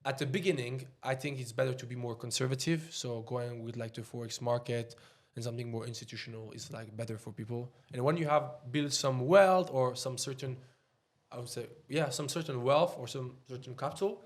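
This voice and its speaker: Swiss French man, rambling casual talk. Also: medium pitch. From Switzerland. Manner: loose and halting, heavy on "like" fillers. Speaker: podcast guest, trader.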